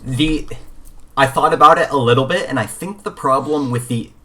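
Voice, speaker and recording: A man's voice: mid-range voice, normal speed, pushed and bright. A man co-hosting a podcast, talking casually in an analytical, matter-of-fact manner. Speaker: podcast co-host. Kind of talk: casual chat.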